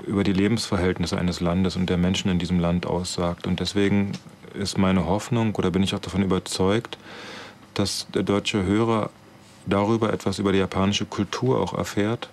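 Male interviewee, 40s, deep voice, thoughtful and halting, noticeable background noise. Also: level tone, darkish tone. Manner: measured, halting in short thoughtful phrases. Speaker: radio drama director. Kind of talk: reflective interview talk. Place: indoors, radio studio control room.

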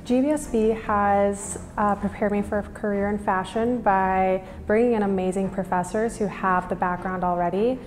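A young American woman speaks as a student in an interview testimonial. Her pitch stays fairly even. The room rings with reverb, and music plays lightly underneath. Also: normal speed, few pauses. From Minnesota, United States. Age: young adult. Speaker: business school student giving a testimonial. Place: indoors against a plain wall and banner. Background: background music.

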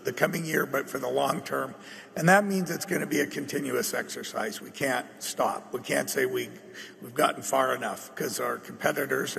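A middle-aged man with a North American accent giving a measured, halting, unscripted business explanation as an executive at a meeting. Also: mid-range voice, creaky, fairly bright tone. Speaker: company executive answering at an annual shareholder meeting. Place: large meeting hall stage. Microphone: table microphone. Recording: echoey hall through PA.

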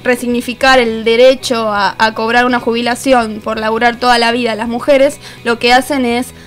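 A woman's voice: fairly high pitch, flat delivery, moderate pace. A woman with an Argentine accent, speaking as a columnist in a radio discussion. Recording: music playing behind the voice. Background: music.

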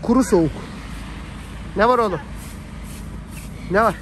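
A man's voice: high-pitched, a little slow, a bit bright. A man chats casually and colloquially to his viewers as a family vlogger. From Turkey. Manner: chatty, colloquial, addresses viewers as friends. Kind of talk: casual vlog chat to viewers. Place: outdoors on a snowy city street. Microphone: handheld phone while filming. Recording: outdoor phone audio, faint street noise.

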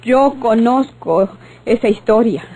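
A woman's voice: on the high side, expressive, takes it slowly, mellow, heavily acted, poor audio. An actress playing a reproachful, pleading woman in an old radio drama. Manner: dramatic, scolding. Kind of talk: old Mexican radionovela acting.

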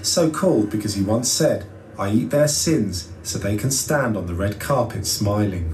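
A man's voice: lowish voice, animated, normal speed, darkish tone, a little hiss or hum, rough recording. A man presenting a documentary, narrating and putting remarks to an interviewee. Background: music.

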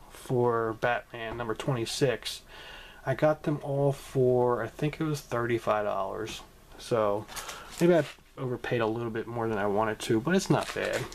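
A man's voice: mid-range voice, noisy background. A man casually talking to camera about his comic book haul, rambling with many ums and restarts, in a room at home. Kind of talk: casual vlog talk to camera.

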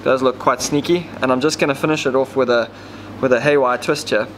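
A man's voice: mid-range voice, fairly even intonation, a little fast, slight background noise, low-quality recording. A man, casually explaining step by step how to rig fishing gear, with plenty of ums. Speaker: fishing tackle demonstrator. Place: indoor tackle shop counter.

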